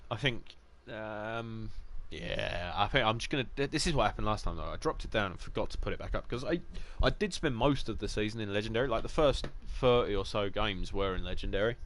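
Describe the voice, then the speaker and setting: Chatty Essex man with a low voice. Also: fairly quick, hardly any pauses, fairly bright tone. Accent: Essex English. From Essex, England. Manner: chatty, jokey, rambling with restarts. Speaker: gaming streamer. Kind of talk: casual live-stream commentary.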